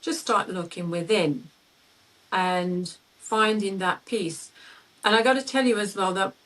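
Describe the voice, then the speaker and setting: A woman in her forties who speaks English as a second language, hosting a personal conversation and talking haltingly, searching for words. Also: medium pitch, fairly bright tone, far from the mic, low-quality recording. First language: not English. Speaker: podcast host.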